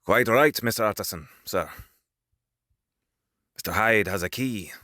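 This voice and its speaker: A man's voice: lowish voice, unhurried, pushed and bright, no room sound, studio quality. An actor playing an obedient, frank household servant in an audio drama, answering his betters with emphatic respect. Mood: deferential, forthcoming.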